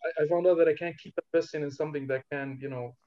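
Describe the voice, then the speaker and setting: A man from Algeria, a rapper guest talking in a relaxed interview, hesitant and full of fillers. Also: fairly high pitch, fast, highs cut off. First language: Algerian Darija. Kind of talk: casual interview talk.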